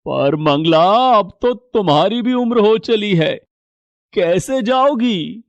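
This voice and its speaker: Male VO: tired aging cartoon fisherman, soft-spoken, unhurried. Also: sits high, expressive, no echo, muffled recording, heavily acted. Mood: worried. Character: aging poor male fisherman, weary and gentle. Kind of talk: cartoon story voice acting.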